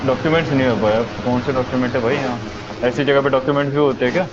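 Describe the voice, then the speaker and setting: A man's voice: mid-range voice, steady tone, racing, noisy background. An Indian-accented man giving casual commentary as a gaming streamer. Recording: muffled mic with game music behind.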